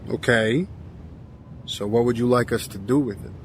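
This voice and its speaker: An actor playing a humble, curious sailor who talks haltingly and stammers with deference. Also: male voice, mid-range voice, slight background noise, natural voice. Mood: hesitant, curious. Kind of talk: audio drama acting.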